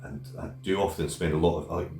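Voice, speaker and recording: A man around thirty with a low voice chats reflectively as a guest. The room echoes, and faint music sits behind him. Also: fairly even intonation, quick pace, warm tone. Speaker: returning guest. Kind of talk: reflective casual discussion. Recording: roomy echo, faint music.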